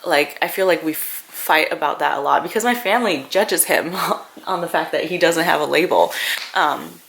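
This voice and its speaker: A young woman who is a native Vietnamese speaker, chatting casually and haltingly to viewers as a vlogger. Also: sits low, gravelly, a bit bright. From grew up in a Vietnamese-speaking family. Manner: informal, halting, thinking aloud. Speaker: family vlogger. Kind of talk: casual personal vlog chat. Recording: noisy home-video sound with clicks.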